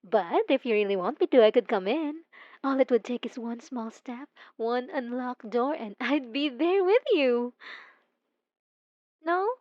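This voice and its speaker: Soft, teasing stalker girl voiced by a woman. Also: a little high, expressive, a little slow, no echo, dull audio. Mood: coaxing. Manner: soft, intimate, teasing with giggles. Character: obsessive, possessive young woman stalker. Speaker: narrator speaking to the listener. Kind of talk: ASMR roleplay.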